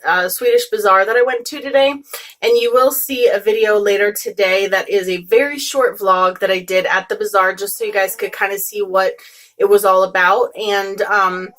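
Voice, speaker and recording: A woman's voice: mid-range voice, a bit bright. A young American woman with no regional accent, chatting casually to camera in a vlog, rambling with lots of ums and restarts. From United States. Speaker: beauty vlogger.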